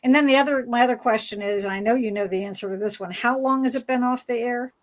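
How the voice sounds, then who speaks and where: A woman with a slightly high voice moderates a panel. She talks casually, putting questions in a halting, conversational manner.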